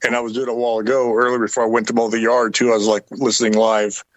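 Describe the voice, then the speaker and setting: A man's voice: medium pitch, little pitch movement, rapid-fire, fairly bright tone, no echo. A middle-aged or older man co-hosting a podcast in casual conversation. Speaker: podcast co-host. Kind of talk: casual chat.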